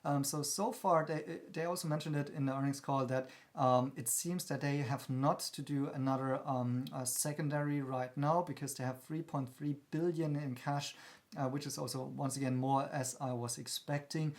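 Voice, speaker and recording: A man's voice: mid-range voice, fairly even intonation, moderate pace. Man explaining things as a finance commentator. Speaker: host of an investment channel. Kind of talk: analytical commentary on company earnings.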